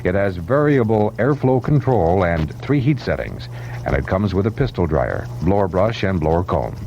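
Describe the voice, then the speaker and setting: A man's voice: low-pitched, very animated, moderate pace, vocal fry, a little mellow. A man reading a 1970s radio advertisement as a commercial announcer. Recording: dull, faintly noisy vintage radio recording.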